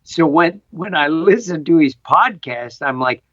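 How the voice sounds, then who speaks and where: Woman, slow pace, animated intonation, emphatic, effusive, casual, dry lo-fi sound. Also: low voice, no room sound. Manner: effusive, emphatic, amazed exclamations. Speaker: audio drama cast member. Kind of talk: casual group chat. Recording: dull lo-fi, dry call audio.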